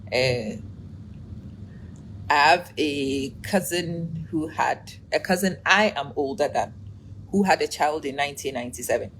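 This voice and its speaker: A woman in her forties hosting a casual live stream, chatting, laughing often and greeting viewers by name, with her energy running low. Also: a little slow, a bit bright, slight background noise, rough recording.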